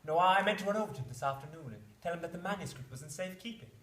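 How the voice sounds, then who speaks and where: A man's voice: a little high, animated, racing, a bit bright. A man playing a naive, fretful young scholarly husband in a stage drama, stammering and often ending remarks with "eh?". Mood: worried. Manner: stammering, flustered, ends remarks with "eh?". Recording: roomy echo, distant microphone.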